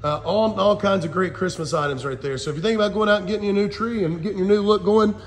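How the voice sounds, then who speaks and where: A man in his forties with a high-pitched voice presents auction goods in a casual, folksy way, running through items as he goes. He is filming on a phone, and his voice rings around a big, echoing warehouse hall. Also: fast. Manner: folksy, addresses viewers as guys. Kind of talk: casual live walkthrough commentary, listing items. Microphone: handheld phone. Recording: echoey warehouse hall reverb.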